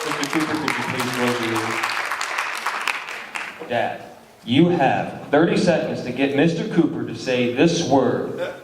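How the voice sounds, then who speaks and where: Casting: male performer as earnest sketch game-show announcer, unhurried pace, echoing hall with audience noise. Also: mid-range voice, natural voice. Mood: announcing. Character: earnest male game-show host calling contestant Dad. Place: large hall, live amateur stage show. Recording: echoey hall, distant mic, crowd and noise.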